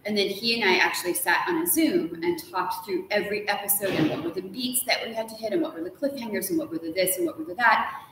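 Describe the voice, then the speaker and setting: A middle-aged American woman, an author being interviewed, chats casually and tells stories in an animated, playful way. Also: mid-range voice, fast talker. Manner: animated storytelling, quoting people with playful asides. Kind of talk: lively casual conversation. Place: large hotel sitting room, live stream. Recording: echoey room from a distance, noisy.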